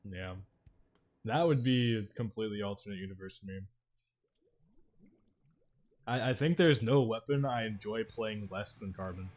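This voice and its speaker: A man with a fairly low voice talks casually as he streams a video game. His speech is informal and slangy, addressed to viewers, with music playing behind him. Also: moderate pace, pauses often, a little mellow. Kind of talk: casual gaming stream commentary, chatting with viewers. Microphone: streaming microphone.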